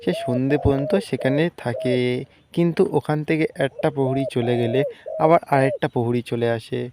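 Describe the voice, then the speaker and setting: A man tells a children's cartoon story as narrator. His voice is medium in pitch, and clear noise, clicks and birdsong sit behind it. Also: fairly even intonation, brisk. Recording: noisy track, clicks and birdsong.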